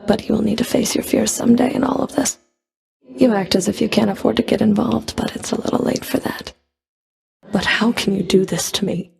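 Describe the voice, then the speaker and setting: A woman playing a cold, proud Fury of the underworld in a video game, dry and cutting, with a creaky edge to her voice. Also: on the high side, steady tone, fairly quick, a little mellow, soft background music. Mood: angry, accusing. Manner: dry, cutting, haughty, controlled. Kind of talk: video game character voice lines.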